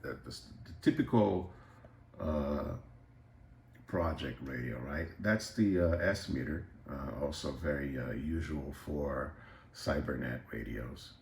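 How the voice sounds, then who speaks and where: A man giving a casual, unscripted show-and-tell as a hobbyist, hesitant and halting as he thinks aloud. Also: a bass voice, vocal fry. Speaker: radio hobbyist presenting a project.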